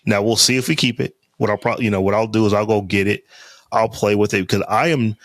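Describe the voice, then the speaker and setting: A man with a deep, lowish voice chats casually on his podcast, rambling and circling back with fillers as he goes. He talks close to the mic, and the sound is dry and free of room echo. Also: steady tone, fairly quick, fairly bright tone. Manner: rambling, loose talk with many fillers and restarts. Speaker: podcast co-host. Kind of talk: casual chat. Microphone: close studio mic on a podcast interface.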